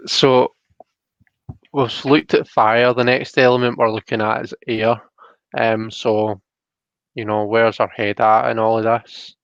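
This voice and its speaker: A man's voice: medium pitch, level tone, a little slow, gravelly, clear, resonant tone, no echo, muffled recording. A Scottish man hosting a casual live tarot reading, chatty and hesitant, often chuckling as he reads out viewer comments.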